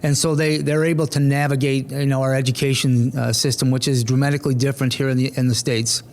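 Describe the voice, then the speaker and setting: A man hosting a school district interview as superintendent, asking long, halting questions with many fillers. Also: medium pitch, flat delivery, darkish tone.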